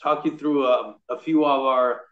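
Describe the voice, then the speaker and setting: A man's voice: medium pitch, fairly even intonation, moderate pace. Man with light Italian accent, roomy call. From Rome, Italy. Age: 30s. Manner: chatty, frequent restarts and fillers, gestures with hands. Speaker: real estate agent guest. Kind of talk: video call interview. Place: indoor room at a desk. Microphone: laptop camera on video call. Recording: roomy, dull video call audio.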